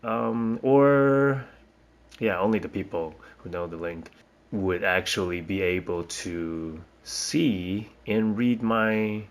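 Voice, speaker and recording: Man, from Taiwan, young adult, teacher, low-ish voice, slow, hesitant, rambling. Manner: hesitant, rambling, frequent fillers and restarts. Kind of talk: casual spoken reflection.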